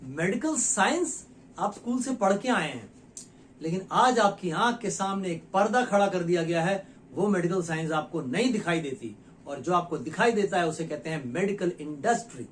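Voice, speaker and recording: A man from India with a fairly high voice, hosting his own health channel. He talks earnestly and persuasively to his viewers, pausing between phrases and asking rhetorical questions. A faint hiss sits under his voice. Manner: addresses viewers directly, with many rhetorical questions. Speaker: nutrition educator and channel host. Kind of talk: explanatory talk to camera.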